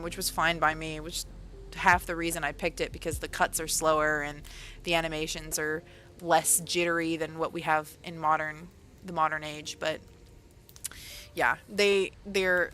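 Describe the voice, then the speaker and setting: A woman's voice: low voice, sharp tone, a little hiss or hum. A woman chatting casually on a livestream, rambling along with lots of fillers and correcting herself as she goes. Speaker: author and livestreamer.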